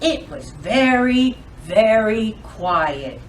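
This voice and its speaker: A woman hosting a children's storytime, reading aloud and addressing young kids in an expressive, sing-song, deliberate way. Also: mid-range voice, recorded from a distance, a little hiss or hum. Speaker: library storytime host.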